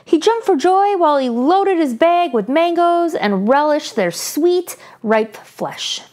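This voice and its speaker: A woman storyteller reading a children's book aloud in an expressive, theatrical, deliberate way. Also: sits high.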